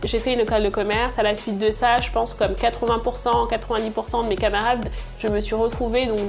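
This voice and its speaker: A young woman from Senegal who grew up in France, speaking as an entrepreneur answering interview questions hesitantly, with many fillers and restarts. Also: medium pitch. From Senegal, born in Congo, raised in France. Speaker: entrepreneur and channel creator.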